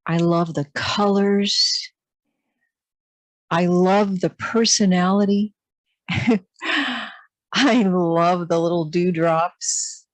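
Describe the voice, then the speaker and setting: A woman's voice: fairly low pitch, drawn-out words, long pauses, no room sound. A woman hosting a podcast, interviewing a guest and praising her work effusively. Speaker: podcast host.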